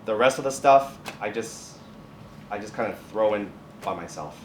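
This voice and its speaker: Halting low-voiced man, echoey and distant. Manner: halting, self-correcting, restarts phrases. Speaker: high school biotech and science teacher, guest speaker. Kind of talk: informal presentation with question and answer. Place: classroom or lab at a college. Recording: echoey room, distant mic, faint noise.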